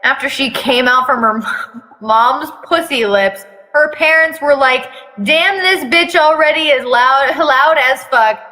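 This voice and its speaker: Woman reading as a cocky, bright-voiced teenage schoolboy. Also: high-pitched, unhurried, pitched above the performer's own voice. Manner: groaning, swaggering, foul-mouthed. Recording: long echoing room reverb.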